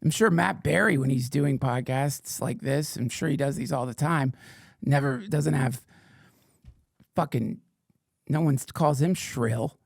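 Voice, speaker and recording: A middle-aged man hosting a comedic stream, riffing in a mock-indignant persona that swings from whispers to shouts. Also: mid-range voice, measured pace, creaky, no echo, clean studio sound. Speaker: podcast host reading chat questions.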